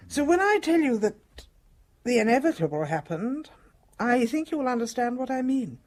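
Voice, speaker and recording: An older woman playing a dying British matriarch in a radio drama, speaking in a measured, formal, guarded way. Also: fairly high pitch, darkish tone. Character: composed, dying elderly matriarch with secrets.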